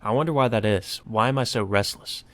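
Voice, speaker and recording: A man's voice: fairly low pitch, a little fast, dry recording. A man hosting a Let's Play, reading a visual novel aloud with casual commentary.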